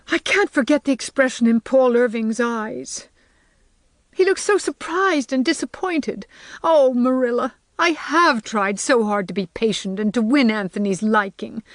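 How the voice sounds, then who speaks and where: A woman's voice: fairly high pitch, dry recording, voice pushed up. Woman voicing a tearful, earnest young teacher. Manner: sobbing, pleading, breathless. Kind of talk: storybook character reading.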